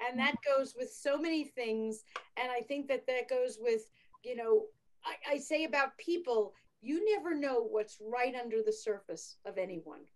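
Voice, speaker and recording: Talk show host: an enthusiastic, high-pitched woman, chatty and full of fillers. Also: normal speed. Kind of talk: casual interview chat.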